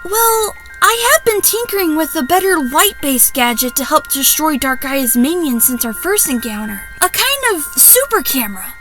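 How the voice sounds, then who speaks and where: Woman voicing a very high-pitched boy fox. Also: expressive, moderate pace, few pauses, over music. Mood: earnest. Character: clever, cautious young boy fox inventor sidekick. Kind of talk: fan audio drama acting.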